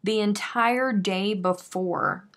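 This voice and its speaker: A woman's voice: medium pitch, slow, a bit bright. A woman hosting a live crochet stream, chatting casually and self-deprecatingly as she reads out viewer comments.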